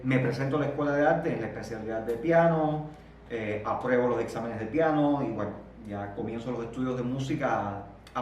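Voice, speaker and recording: Cuban man, halting reflective speech, echoey room. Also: medium pitch, a little mellow. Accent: Cuban Spanish. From Cuba. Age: 30s. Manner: halting, many repeated words and pauses. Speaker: interview guest. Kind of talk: reflective interview conversation. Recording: echoey room, distant mic, faint noise.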